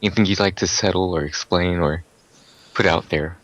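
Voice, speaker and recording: Man, deep voice, dull lo-fi audio, friendly rambling interviewer. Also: steady tone, moderate pace, dry recording. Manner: friendly, laughing, rambling questions. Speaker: interviewer, internet radio host. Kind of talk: casual interview chat. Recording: dull lo-fi recording.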